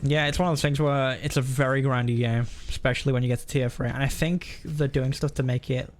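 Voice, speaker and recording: A man's voice: mid-range voice, fairly even intonation, a little fast. A man live streaming a game, rambling casually and thinking aloud as he comments and chats.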